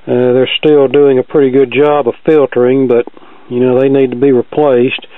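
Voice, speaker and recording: A man's voice: medium pitch, level tone, normal speed, no room sound. A man narrating a hands-on repair in a casual, methodical, matter-of-fact way, as host of his own video. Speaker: host, vintage record player repair hobbyist. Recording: muffled phone-like audio with background noise.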